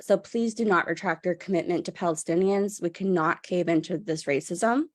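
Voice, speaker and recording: A woman reads a prepared statement as public comment. Her voice sits a little low and sounds dry, without room echo. Her delivery is formal and firm. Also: moderate pace.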